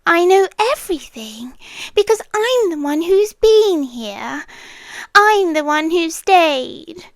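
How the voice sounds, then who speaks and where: Slow, breathy British yandere girlfriend, acted by a woman. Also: very high voice, lively intonation, no echo, exaggerated voice. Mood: insistent, hushed concern. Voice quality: breathy, often dropping to a whisper. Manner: slow, deliberate, coaxing and commanding. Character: possessive, obsessive yandere girlfriend landlady, sweetly controlling. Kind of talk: intimate ASMR roleplay.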